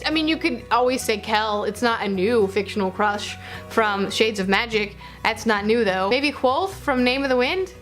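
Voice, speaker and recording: A woman with a fairly high voice chats informally to camera like a vlogger. She is effusive and a little self-deprecating, and some music plays behind her. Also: a bit bright. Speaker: book vlogger. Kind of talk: casual chat to camera.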